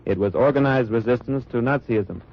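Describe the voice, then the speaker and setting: A man's voice: mid-range voice, a little fast, slight background noise, lightly acted. An actor playing an American spy posing as a German salesman, narrating in terse hard-boiled first person in a 1940s radio drama. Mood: tense. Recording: muffled old radio recording with music.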